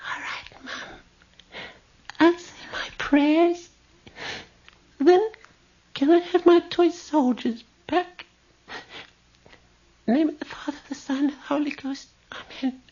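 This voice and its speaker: A man's voice: very high voice, fairly even intonation, slow pace, long pauses, darkish tone, heavily acted. An actor playing an unhinged, childlike man terrorised by his mother, whimpering and pleading in a radio horror drama. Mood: childlike praying. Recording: dull radio recording, faint music.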